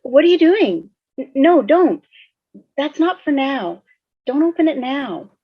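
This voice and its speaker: A woman's voice: sits high, sing-song, unhurried, dry recording. A woman playing a sardonic, stubborn teenage girl, blunt and resigned to doom, in a fan table read over a dull-sounding video call. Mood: upset, bitter. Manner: blunt, sarcastic.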